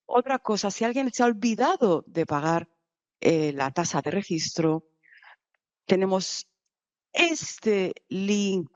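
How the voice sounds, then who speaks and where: A woman giving live conference interpretation, halting at times with restarts and bursts of speed. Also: fairly low pitch, dry recording, highs cut off. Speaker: simultaneous interpreter.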